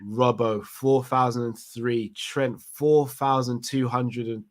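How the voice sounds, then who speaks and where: A man's voice: medium pitch, steady tone, slow. A man hosting a live call-in chat, reading out viewer messages and laughing often. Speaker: fan channel host.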